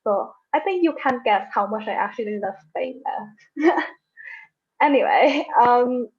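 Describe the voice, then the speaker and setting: A woman's voice: fairly high pitch, expressive, slow. A young woman student giving a friendly, chatty talk to prospective students. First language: not Thai. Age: young adult. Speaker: current student speaker. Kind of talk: informal student presentation. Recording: tinny phone-like call audio.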